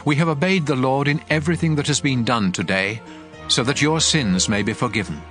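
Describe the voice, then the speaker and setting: A man's voice: mid-range voice, fairly quick, a bit bright, lightly acted. An actor playing a commanding male prophet, solemn and declamatory, instructing his priests in a dramatized scripture reading. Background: music.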